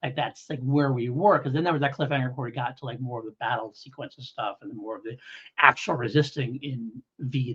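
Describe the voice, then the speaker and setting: A man's voice: mid-range voice, a bit bright, breathy edge. A man co-hosting a podcast in casual review chat, talking in a rambling, conversational, hedging way. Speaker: podcast co-host. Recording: dull, lo-fi podcast audio.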